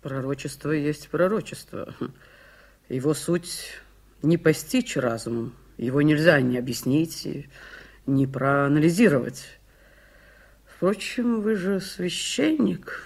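Slow, haughty prophetess. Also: female voice, low-pitched, darkish tone, exaggerated voice. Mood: solemn. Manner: imperious, sardonic, measured. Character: haughty, mocking prophetess, solemn and caustic. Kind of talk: 1980s radio play acting.

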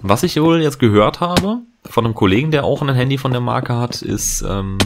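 A man's voice: mid-range voice, expressive, normal speed, noisy background. A man chatting casually over gameplay as the host of his let's play, rambling with many fillers and restarts. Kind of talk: casual gaming commentary.

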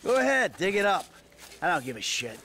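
A man's voice: high voice, big pitch swings, brisk, noisy background. An American man acting in a video game as a weary middle-aged criminal husband, insistent, persuasive and self-justifying. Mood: dismissive. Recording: game audio with loud music.

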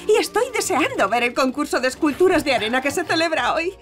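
An enthusiastic lady mayor in a cartoon, speaking Castilian Spanish. Her voice is high and lilting, with faint music behind. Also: very animated, fast, a bit bright. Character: gracious, enthusiastic female town mayor. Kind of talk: animated children's series dubbing.

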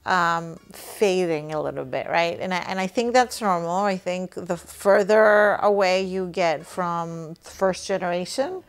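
Slow, gravelly woman reflecting on a sofa. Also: fairly low pitch. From Greece. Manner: thoughtful, frequent restarts and "right?" tags. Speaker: interviewee. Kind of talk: documentary interview, casual reflective talk. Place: living room, seated on a sofa.

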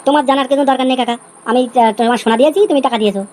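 A man's voice: very high voice, very fast, a little mellow. A man voicing a brash, scheming young village husband in an amateur comedy cartoon. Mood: haggling. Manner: brash, colloquial.

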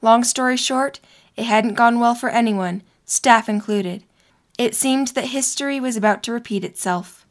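Young woman narrating a story from a script, voice a bit on the high side. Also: normal speed.

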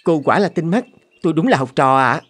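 A man voicing a polite, devoted young scholar in a cartoon fairy tale, gentle and earnest. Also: on the high side, lively intonation, brisk, close to an everyday voice. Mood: courteous. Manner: courteous, gentle. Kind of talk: animated fairy tale voice acting. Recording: faint music under the voice.

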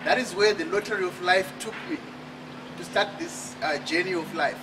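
A man from Zimbabwe with a high voice delivers an emphatic motivational talk on an outdoor terrace at night. He is picked up from a distance by the camera, with steady outdoor noise behind him. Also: normal speed. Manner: emphatic, rhetorical questions. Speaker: author and speaker. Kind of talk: motivational speech at a book launch. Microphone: far camera.

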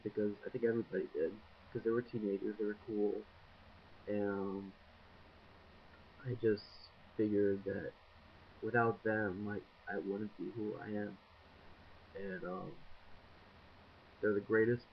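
A man's voice: on the low side, gravelly, slight background noise. A man in his twenties, shy and rambling with lots of ums, talking to a webcam in a dim room. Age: 20s. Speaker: vlogger. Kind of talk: casual video blog. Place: small dim room indoors, window behind. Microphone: built-in webcam mic. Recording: dull lo-fi webcam audio.

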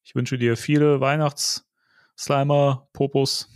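A man hosting a casual fan podcast, chatting in a halting way as he searches for words. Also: medium pitch, level tone, smooth, no room sound, studio quality. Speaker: podcast host.

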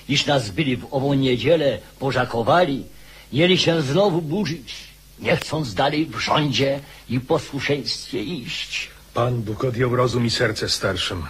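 A man playing an aggrieved army officer in a radio play, raspy and oratorical, lamenting in archaic style. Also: mid-range voice, a little slow. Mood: lamenting, indignant. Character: aggrieved officer chronicling his army's mutiny.

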